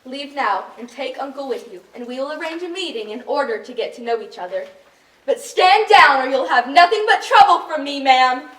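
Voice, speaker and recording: A woman's voice: high voice, lively intonation, normal speed, fairly bright tone, rough recording. A woman playing a brave, defiant young princess with bold stage delivery in a musical reading. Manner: bold, declamatory. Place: indoor stage at an arts venue.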